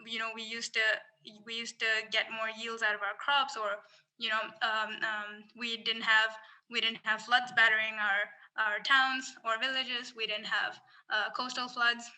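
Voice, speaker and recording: A woman's voice: fairly high pitch, level tone, unhurried, pushed and bright. A young woman from Singapore, a climate researcher, speaking earnestly as a podcast guest with frequent restarts. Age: young adult. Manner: earnest, thoughtful, many restarts. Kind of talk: casual podcast interview.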